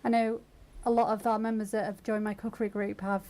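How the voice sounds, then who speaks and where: A woman explaining things in a measured, tentative way as a guest in a radio interview. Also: mid-range voice, flat delivery, dark tone. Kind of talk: conversational radio interview answers.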